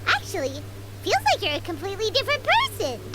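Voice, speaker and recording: A woman voicing a small, excitable, childlike female floating companion in a video game, teasing and easily indignant. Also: very high-pitched, quick pace, heavy background noise, heavily acted.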